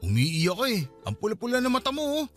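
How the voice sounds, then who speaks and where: A man's voice: extremely high pitch, wildly swooping pitch, fast, fairly bright tone, faint music behind, heavily acted. A man playing a casual, teasing young male friend in a radio drama, with a Filipino accent. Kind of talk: Tagalog radio drama acting.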